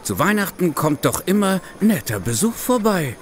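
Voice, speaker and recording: An actor playing a gruff, sarcastic male police chief inspector in an audio drama, speaking insistently. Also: high voice, very animated, normal speed, a little hiss or hum. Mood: grim, certain. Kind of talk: German audio drama acting.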